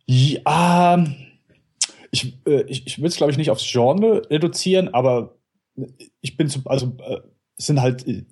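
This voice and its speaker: A man's voice: a little high, measured pace, long pauses, darkish tone, slightly rough. A man speaking as a guest podcaster in casual chat, rambling and self-deprecating in long, nested sentences.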